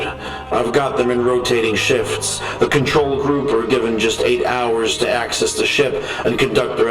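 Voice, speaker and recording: An actor playing a measured male research lead, giving a formal, deliberate and articulate report in a science fiction audio drama. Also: mid-range voice, lightly acted. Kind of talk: dramatic scripted report narration. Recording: roomy echo, distant, music underneath.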